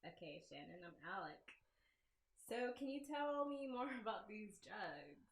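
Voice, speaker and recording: A woman's voice: mid-range voice, very animated, unhurried, reverberant. A woman voicing a teasing, laid-back teenage stoner boy for a visual novel, with a drawling manner.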